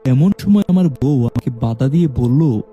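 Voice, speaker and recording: Dark-voiced male horror storyteller. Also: mid-range voice, highs cut off, faint music behind. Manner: steady first-person storytelling, measured. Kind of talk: scripted horror story narration.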